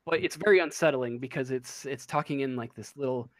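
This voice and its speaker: A man's voice: mid-range voice, brisk, dry recording. A man narrating a tabletop role-playing game as game master, improvising and searching for his words.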